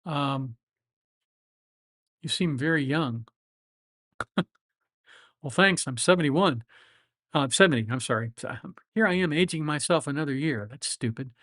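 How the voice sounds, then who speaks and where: A man's voice: a little high, lively intonation, measured pace, pauses often, a bit bright, no room sound, studio quality. A man of seventy, a lawyer hosting a livestream, chatting casually with viewers in a reflective, rambling way with many fillers and restarts. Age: 70s.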